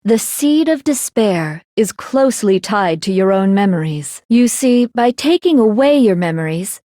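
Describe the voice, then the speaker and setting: A woman playing a cold, analytical teenage girl mastermind in an anime game, explaining in a measured way. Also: mid-range voice, lively intonation, dark tone, no echo, studio quality, close to an everyday voice. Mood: cool superiority. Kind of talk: anime video game voice acting.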